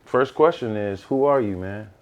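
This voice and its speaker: A man's voice: fairly low pitch, expressive, normal speed, muffled recording. A man with an American accent hosting a podcast in casual, slangy interview chat. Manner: slangy, informal. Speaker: podcast host.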